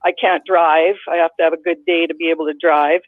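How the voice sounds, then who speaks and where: A woman's voice: low voice, little pitch movement, brisk, no echo. Blunt woman caller on a phone line. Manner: blunt, rambling, many restarts. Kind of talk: casual call-in storytelling. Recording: dry tinny phone line.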